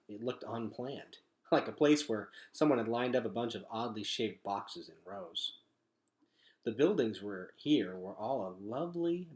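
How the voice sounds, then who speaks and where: A man reading a children's novel aloud as a storyteller, with expressive, emphatic phrasing. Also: medium pitch. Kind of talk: animated children's book reading.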